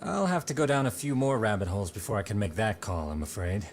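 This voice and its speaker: A man's voice: lowish voice, big pitch swings, fast, a little hiss or hum. An actor playing a casual, analytical male internet researcher in a video game cutscene. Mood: explaining. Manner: explanatory, casual.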